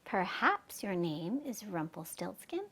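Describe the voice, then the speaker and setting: A woman's voice: medium pitch, sing-song, takes it slowly, fairly bright tone, breathy edge, exaggerated voice. A woman storyteller voicing a hesitant, troubled young miller's daughter in a fairy tale. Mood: questioning. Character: distressed young miller's daughter, later queen.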